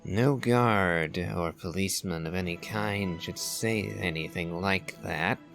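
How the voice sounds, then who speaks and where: A man's voice: low voice, slow pace, creaky, a bit bright, faint music behind. A man giving casual, musing commentary as he plays through a video game. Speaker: streamer playing and reacting to a game.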